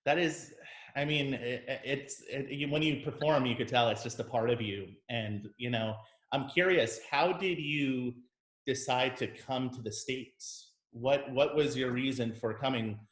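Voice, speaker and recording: Male conductor as host, mid-pitched, measured and friendly, recorded in a roomy, echoey space. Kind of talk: casual interview conversation. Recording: roomy echo.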